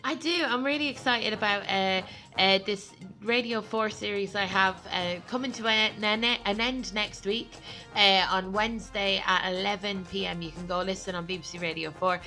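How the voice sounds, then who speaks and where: A woman's voice: medium pitch, normal speed, sharp tone, faint music behind. A woman comedian guest chatting casually on a podcast, giggly and rambling with lots of fillers.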